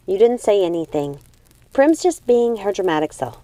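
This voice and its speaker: A calm, pale young storybook witch, read by a woman, playing peacemaker. Her mellow voice is lifted a little and reassuring, in a dry, close recording. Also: mid-range voice, normal speed, no room sound, lightly acted, rough recording. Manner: reassuring, reasoning.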